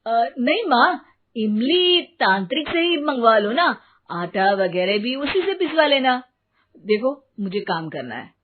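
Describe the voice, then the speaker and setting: A woman voicing a casual, sceptical grown-up son in an animated moral story. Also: fairly high pitch, unhurried. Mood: sarcastic. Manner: casual, dismissive. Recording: narrow phone-like sound, faint noise.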